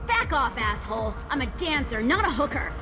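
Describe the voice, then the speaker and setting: A woman's voice: sits high, big pitch swings, a little fast, music in the background. An actress voicing a feisty, blunt female nightclub dancer in a video game. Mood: angry. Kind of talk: video game dialogue acting.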